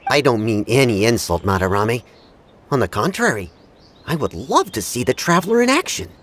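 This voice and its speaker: A man's voice: medium pitch, big pitch swings, moderate pace, a bit bright, a little hiss or hum. An actor playing a proud, blunt young samurai swordsman in an anime game, formal and haughty. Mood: condescending. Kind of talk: anime game character acting. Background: background music.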